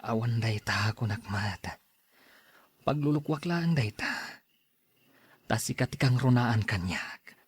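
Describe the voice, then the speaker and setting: A man's voice: mid-range voice, lively intonation, slow pace, long pauses, breathy edge, heavily acted. A man with an Ilocano accent acting an evasive, defensive husband in a radio drama. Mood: evasive, flustered. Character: evasive cheating husband, defensive, then pleading.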